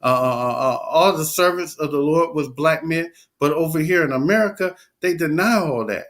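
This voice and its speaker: A man's voice: fairly high pitch, fairly bright tone. A man reading scripture aloud and preaching in a casual talk, stumbling, repeating words and filling gaps with "uh". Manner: halting, many repeats and fillers.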